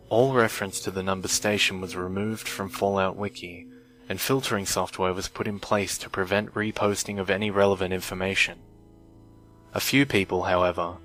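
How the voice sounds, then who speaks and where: A man reading a scripted creepypasta in a measured, deliberate way, recorded on an old microphone. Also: deep voice, fairly bright tone, poor audio. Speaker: narrator of horror stories. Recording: strange noise from old mic, music. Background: music.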